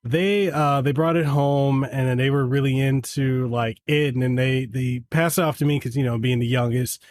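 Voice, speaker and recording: A man's voice: medium pitch, moderate pace, dry recording, clean studio sound. A middle-aged man from Western Samoa whose first language is Samoan, telling a casual story as a podcast guest and acting out family dialogue. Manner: animated, acts out family dialogue.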